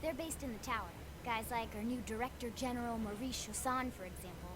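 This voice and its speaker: A woman's voice: fairly high pitch, brisk, background noise, close to an everyday voice. An actress playing an eager, chatty young female recruiter in a video game cutscene, with a bubbly, pushy manner. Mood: enthusiastic explaining.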